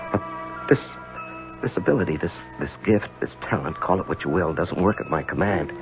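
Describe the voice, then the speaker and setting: A troubled lawyer in an old radio drama, his voice very deep and creaky. He speaks haltingly, doubling back on his words as if weighed down. The broadcast sound is dull. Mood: brooding, introspective. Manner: halting, repeats himself under strain. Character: troubled married lawyer, anguished, hesitant, devoted to ailing wife. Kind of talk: 1970s radio drama acting with introspective narration. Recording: muffled old radio broadcast, loud music.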